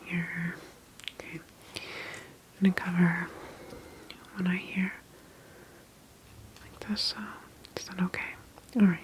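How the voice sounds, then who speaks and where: A woman whispers softly and gently in an ASMR medical roleplay, playing an attentive doctor. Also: lowish voice, little pitch movement, slow pace, long pauses, low-quality recording.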